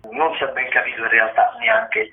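A man with a mid-range voice delivers a cultural briefing as a critic. He talks in a discursive, loosely linked way. The line is phone-like and thin, with clear room echo around him. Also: mid-range voice, big pitch swings, moderate pace, heavy background noise. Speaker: theatre critic, radio contributor. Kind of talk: spoken cultural news briefing. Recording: roomy, tinny phone line.

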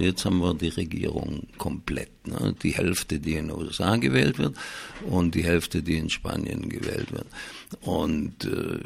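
A man hosting a radio show with casual political commentary, speaking in a wry, ironic way with a gravelly, husky voice. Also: low-pitched.

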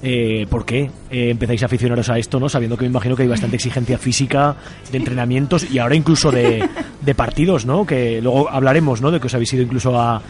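Fast-talking Spanish male radio host. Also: mid-range voice, steady tone. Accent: Spanish from Spain. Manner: colloquial, jokey. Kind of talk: casual chatty interview.

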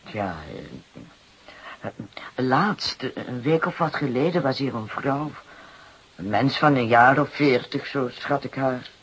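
Brief: worn, aggrieved working-class mother for radio drama, very husky and breathy, plaintive, hesitant delivery. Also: medium pitch, expressive, slow, a bit bright, exaggerated voice, poor audio. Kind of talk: Dutch radio drama acting.

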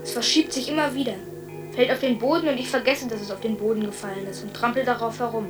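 Woman playing dreamy anxious lady in radio drama, lost in her visions, highish, music behind her. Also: fairly even intonation, quick pace, recorded from a distance, lightly acted. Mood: bewildered, flat.

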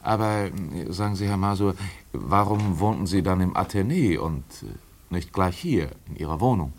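An actor playing an inquisitive, dryly ironic gentleman investigator in a German radio drama, probing with small hesitations. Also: deep voice, expressive, normal speed.

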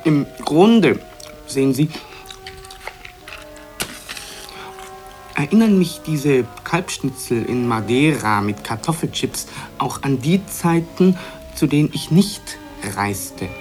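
A man playing a pensive, self-absorbed gentleman diner in an absurdist radio play, speaking hesitantly and deliberately. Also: fairly high pitch, big pitch swings, faint music behind. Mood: confiding. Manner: hesitant, deliberate, ponderous with pauses.

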